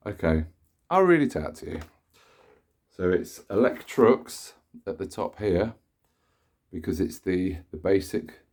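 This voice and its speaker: A man with a stuffy cold chattily shows off a vacuum cleaner in a sunny room indoors, filming it up close with a handheld camera. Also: lowish voice. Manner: chatty, meandering, self-correcting. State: nasal from a cold. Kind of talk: casual show-and-tell demonstration.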